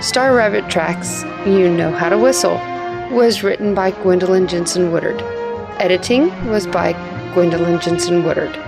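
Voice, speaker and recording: A woman announcer reading the end credits of an audio drama in an even, measured way. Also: on the low side, vocal fry, rough recording. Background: music.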